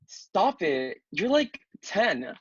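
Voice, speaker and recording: A man playing a polite, flustered young artist, husky-voiced, in a comedic script read over a video call. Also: high-pitched, big pitch swings, extremely slow, exaggerated voice. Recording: dull lo-fi video-call audio.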